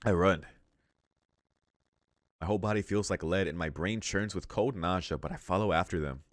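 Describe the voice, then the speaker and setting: A man reading a visual novel aloud as a let's play host, in measured narration with casual, slangy asides. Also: low-pitched, dry recording, clean studio sound. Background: music.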